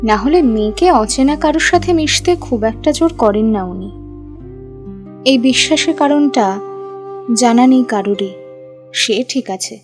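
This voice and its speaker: A woman reads scripted narration for an audio story. Her voice sits a little high, with light music playing behind her. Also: lively intonation, a little slow, long pauses.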